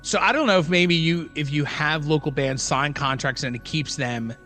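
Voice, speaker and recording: A man with a sharp, bright voice pitched a little high co-hosts a casual podcast. He talks informally and at length, circling around his point. There is faint music behind him. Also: moderate pace. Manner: rambling, hedging, informal. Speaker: podcast co-host; former band musician. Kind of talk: casual chat.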